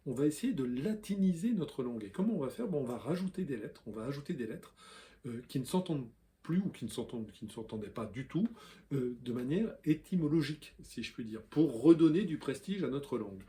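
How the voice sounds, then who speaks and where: A man, a language columnist, explaining spelling to camera in a lively way, with fillers, spelling out letters and example words. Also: mid-range voice. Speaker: language columnist and video host.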